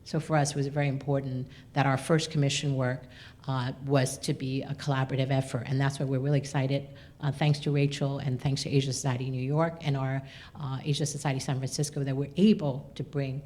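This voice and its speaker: A woman speaking unscripted at a panel event as an arts organisation leader, in a measured, halting way. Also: extremely low pitch, level tone, mellow.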